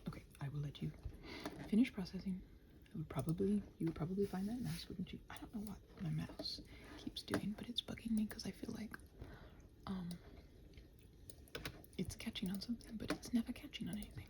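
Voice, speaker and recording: A woman's voice: medium pitch, a little fast, pauses often. A woman hosting a rambling ASMR work session, muttering to herself, often half-whispered, with faint noise. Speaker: host of a body-doubling ramble. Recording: faint noise, keyboard typing. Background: computer keyboard and typing.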